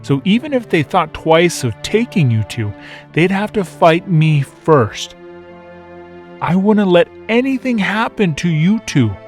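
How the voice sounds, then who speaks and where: A man playing a protective master of orphaned boys, speaking in a formal, measured, earnest way in a manga dub. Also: sits high, very animated, soft background music. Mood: earnest reassurance.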